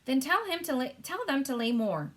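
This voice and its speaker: A woman's voice: high voice, big pitch swings, moderate pace, fairly bright tone, pitched above the performer's own voice. A woman voicing an imperious, testy queen with a formal, commanding manner in a storybook reading.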